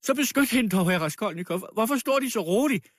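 A man voicing a frantic, anguished sick widow in a storybook reading, hoarse and tearful. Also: high-pitched, animated, dry recording, studio quality, pitched above the performer's own voice. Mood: desperate, wailing. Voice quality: hoarse, plaintive. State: crying.

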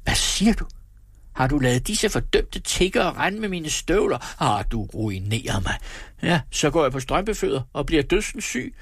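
Man voicing a blustering, husky miser of a husband. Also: mid-range voice, big pitch swings, no echo, clean studio sound. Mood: angry, self-pitying. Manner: theatrical, exclamatory, grandiose complaining. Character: miserly, pompous, blustering husband, self-pitying and quick-tempered. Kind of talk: dramatised storybook reading.

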